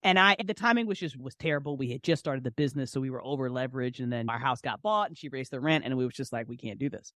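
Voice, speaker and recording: A woman's voice: extremely low pitch, fast, hardly any pauses, no room sound, studio quality. Frank, slangy woman in her forties. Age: 40s. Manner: frank, informal and slangy, expressive. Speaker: podcast guest, audio drama creator and writer. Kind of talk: casual, candid interview chat.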